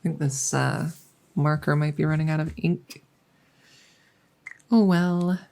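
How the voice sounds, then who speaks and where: A woman playing a friendly, chatty dungeon master in a soft-spoken ASMR roleplay, musing and hesitant as she talks intimately to the listener. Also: deep voice, fairly even intonation, a little slow, gravelly. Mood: relaxed, musing. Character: friendly female dungeon master, chatty, teasing, doting cat owner.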